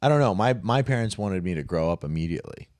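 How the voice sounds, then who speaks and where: A man's voice: deep voice, lively intonation, fast, a little mellow, no room sound, clean studio sound. A man co-hosting a podcast, talking over Bible stories in loose casual chat, full of "like"s and trailing restarts. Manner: rambling, with many "like"s and trailing restarts. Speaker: podcast co-host.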